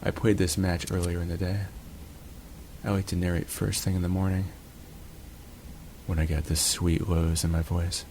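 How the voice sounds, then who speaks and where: A man's voice: low-pitched, little pitch movement, moderate pace, pauses often, darkish tone, slight background noise. A man hosting his own channel, casually narrating a match from home in a laid-back, jokey, chatty way. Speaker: gaming channel host. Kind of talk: relaxed gameplay commentary.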